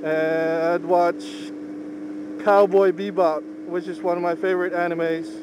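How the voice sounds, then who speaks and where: Slow-talking young man driving. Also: high-pitched, little pitch movement. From Philippines. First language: Tagalog. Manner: slow, unhurried, halting with pauses. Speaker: vlogger. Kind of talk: casual talk while driving. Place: inside a moving car. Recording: faint car engine hum. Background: car engine and road noise.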